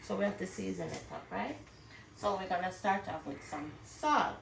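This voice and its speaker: A woman's voice: mid-range voice, lively intonation, moderate pace, vocal fry, recorded from a distance, a little hiss or hum. A woman chatting casually to viewers as she hosts a cooking demonstration. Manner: chatty, conversational, addresses viewers directly. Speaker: cooking vlog host. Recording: music behind, roomy, off the mic. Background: music.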